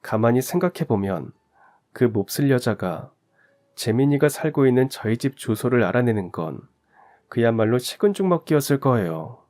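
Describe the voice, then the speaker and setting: A man's voice: mid-range voice, very animated, moderate pace, a little mellow. A man reading a first-person story aloud in an earnest, confiding storytelling manner. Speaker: story narrator. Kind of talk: scripted first-person narration.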